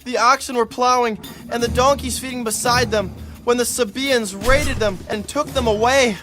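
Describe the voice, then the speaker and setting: Fleeing messenger in scripture drama. Urgent, panicked, extremely high voice. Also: male voice, steady tone, normal speed, over music. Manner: urgent, frantic. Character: panicked male servant messenger fleeing a raid.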